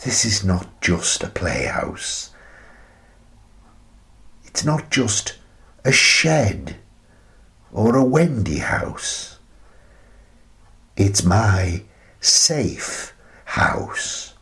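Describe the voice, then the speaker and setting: An actor playing a bereaved, tender old widower in a wistful, halting, reflective radio play monologue. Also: male voice, lowish voice, sing-song, a bit bright, exaggerated voice. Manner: slow, halting, reflective delivery.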